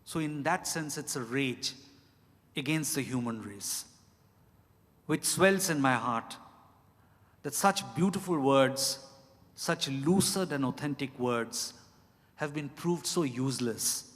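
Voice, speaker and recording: A middle-aged man with an Indian accent answering questions as an author, speaking reflectively and deliberately, often repeating phrases. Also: mid-range voice. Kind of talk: reflective live Q&A at a literary event. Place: large indoor event hall at a hotel. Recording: reverberant hall, amplified live event.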